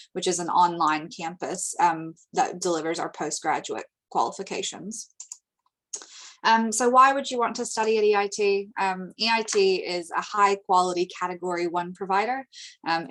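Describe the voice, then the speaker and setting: An American woman from the United States giving a webinar presentation over slides, steady and informative, with frequent ums. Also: fairly low pitch. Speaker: international recruitment presenter.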